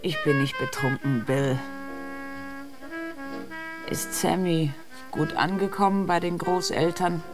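Slow, bitter, languid wife. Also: female voice, lowish voice, fairly even intonation, music in the background. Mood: sardonic, tipsy. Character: bitter, drinking wife and mother, weary and sardonic. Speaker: actor in a radio drama. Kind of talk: German radio drama acting.

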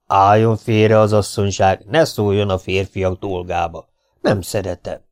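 A man voicing a proud, menacing old-time Hungarian warlord in a storybook reading, making solemn, booming threats. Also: level tone, clear, resonant tone. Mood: stern. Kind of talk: audiobook character voice.

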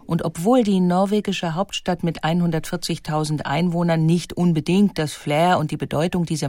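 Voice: mid-range voice, darkish tone. A narrator reading a scripted documentary about history and art.

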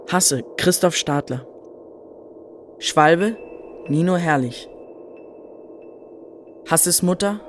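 A man reading radio drama credits as an announcer, in a measured, formal manner. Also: a little high.

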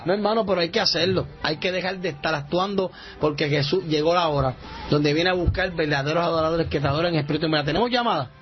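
Radio preacher guy, talks fast, repeats himself a lot, muffled radio audio. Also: on the high side, faint music behind. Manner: fervent, repetitive, rising to shouts. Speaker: radio show host. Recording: dull lo-fi radio sound.

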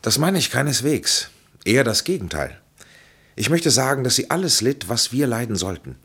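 An actor playing a grave, authoritative physician in a German radio play, speaking in measured, emphatic, formal phrases. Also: male voice, mid-range voice, sing-song, fairly bright tone, breathy edge, full character voice. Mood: solemn. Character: grave, authoritative physician and counsellor, solemn and consoling.

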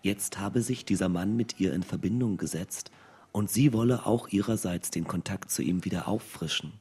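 Rauer, sachlicher Erzähler. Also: male voice, low-pitched, normal speed, darkish tone, breathy edge, full character voice. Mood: matter-of-fact. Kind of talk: literary radio play narration.

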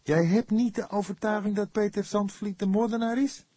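A man voicing an impetuous, hot-headed young detective in an audiobook, excitable and indignant. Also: high-pitched, normal speed, slightly rough, no room sound, pitched above the performer's own voice. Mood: heated, indignant. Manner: loud, excitable, heated delivery.

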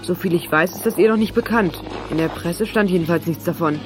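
A confident young sleuth played by a woman with a fairly low voice, matter-of-fact in radio play style, over faint music. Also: fairly quick, lightly acted. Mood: curious, assured. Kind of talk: German audio drama acting.

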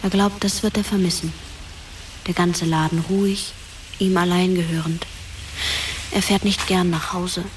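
A woman playing the watchful, tender ghost of a dead teenage girl, narrating a radio play quietly and in measured phrases. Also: on the low side, flat delivery, a little hiss or hum, close to an everyday voice, low-quality recording. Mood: quiet, observing. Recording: music behind the voice.

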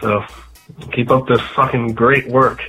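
A man's voice: medium pitch, little pitch movement, a little slow, pushed and bright, faint music behind, low-quality recording. A sick man with a nasal voice leaving a rambling, mocking voicemail. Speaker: voicemail caller.

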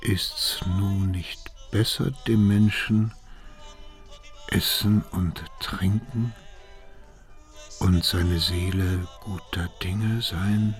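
An actor playing a pious old man reciting scripture gravely in a measured radio play monologue. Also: low voice.

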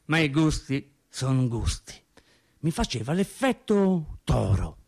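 Radio monologue: agitated working man, higher-pitched, very unhurried, circling back over his words in a colloquial ramble. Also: very animated, gravelly, breathy edge, exaggerated voice. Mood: rambling, bemused. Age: adult over thirty. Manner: halting, repetitive, colloquial storytelling with stammered restarts.

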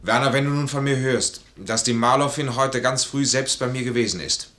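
An actor playing a proud, honourable, impoverished major in a classical radio play, stern and stubborn, with formal, emphatic diction. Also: male voice, medium pitch, normal speed, a bit bright, close to an everyday voice. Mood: pained pride. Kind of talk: German classical stage comedy as radio play.

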